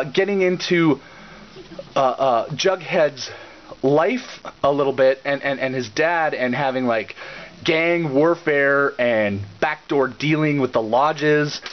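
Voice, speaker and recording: A man delivering a satirical recap in a sarcastic, theatrical and halting manner, often repeating words. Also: on the high side, a little hiss or hum. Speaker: reviewer and puppet-show host. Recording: slightly dull lo-fi audio.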